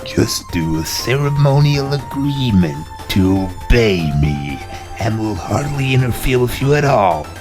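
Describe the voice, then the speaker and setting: A menacing goblin lord played by a man for a comic dub. He is low-pitched and commanding, his pitch swinging widely, with music clearly behind him. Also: big pitch swings, measured pace. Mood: smug menace. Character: menacing modernizing male goblin lord, bossy and threatening. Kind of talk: comic dub character acting.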